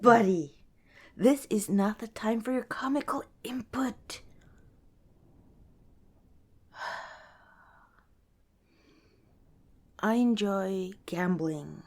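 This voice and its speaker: A woman playing a kind, cautious young scavenger in an ASMR roleplay, speaking softly and hesitantly, as if chatting to the listener. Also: medium pitch, slow. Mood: candid, relaxed. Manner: soft, hesitant, conversational, with sighs and small laughs.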